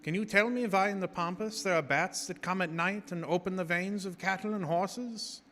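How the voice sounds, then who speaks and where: An actor playing a grave, commanding professor who hunts vampires, delivering probing, theatrical speeches in gothic radio drama. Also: male voice, sits high, fairly even intonation, normal speed, fairly bright tone. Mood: intense, lecturing. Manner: theatrical, probing rhetorical questions. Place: live theater stage. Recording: reverberant theater hall with noise.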